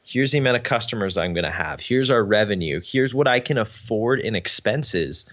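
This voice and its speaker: A man's voice: on the low side, lively intonation, moderate pace, a bit bright, lo-fi sound. A young Canadian man, a podcast guest, talking earnestly in a casual interview with frequent ums. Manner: earnest, uses many ums.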